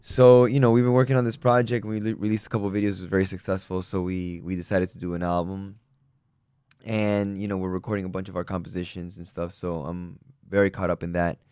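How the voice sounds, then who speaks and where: Young guy from peru, real low voice, smooth and clear, dry close sound, easygoing chat. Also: quick pace, no room sound. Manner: relaxed, upbeat, lots of fillers. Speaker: musician guest. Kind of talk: casual interview chat.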